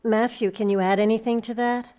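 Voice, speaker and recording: A woman hosts a live panel interview from a stage. Her voice sits a little high, and she lays out her questions in measured, deliberate phrases. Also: steady tone, dark tone. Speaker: host and interviewer. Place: stage recording before a live audience.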